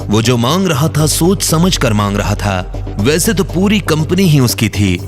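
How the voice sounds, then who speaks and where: A man's voice: a little high, big pitch swings, measured pace, faint music behind. A man reading scripted narration as the storyteller of an audio drama.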